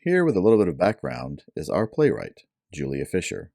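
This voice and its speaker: A man's voice: deep voice, huge pitch swings, a little fast, mellow, no echo, clean studio sound. A man presenting a segment with a scripted introduction.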